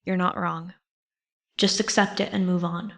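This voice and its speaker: A woman's voice: lowish voice, fairly even intonation, unhurried, a bit bright, dry recording. A woman voicing a guarded, determined male teacher in an audiobook, earnest and restrained. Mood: tired, flat. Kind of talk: audiobook character voice.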